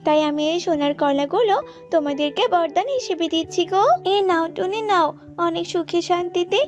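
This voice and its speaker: Very high, plaintive little banana tree. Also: female voice, moderate pace, highs cut off, soft background music. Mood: kindly. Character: helpless tearful banana tree, gentle, grateful, generous. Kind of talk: children's cartoon voice acting.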